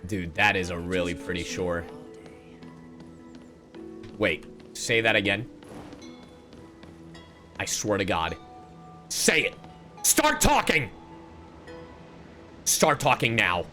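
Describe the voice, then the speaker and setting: A man streaming a game playthrough with excitable, profane, playfully exasperated commentary. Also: fairly low pitch, slow pace, long pauses, soft background music. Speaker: streamer, VTuber.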